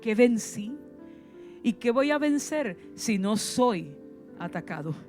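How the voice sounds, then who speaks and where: Puerto Rican woman preacher, mellow mid-pitched voice, fervent sermon with pointed questions, soft music under her. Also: expressive, slow pace. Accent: Puerto Rican Spanish. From Puerto Rico. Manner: passionate preaching with rhetorical questions and sudden emphasis.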